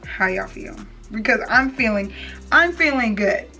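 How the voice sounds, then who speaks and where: A woman's voice: a little high, lively intonation, a little slow, bright, forceful tone. Chatty young woman, slightly off-mic. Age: young adult. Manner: chatty, slangy, playful. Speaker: beauty vlogger. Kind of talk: casual hair tutorial chat. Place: indoor room, plain wall backdrop. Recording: slightly distant mic, faint music.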